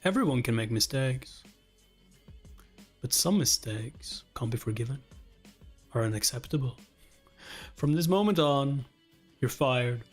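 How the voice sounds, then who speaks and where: A man plays a cold male executive from a webtoon, severe and accusing. He delivers his demands curtly, in a mid-pitched voice, with light music behind. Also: lively intonation, measured pace, long pauses. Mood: cold, scornful.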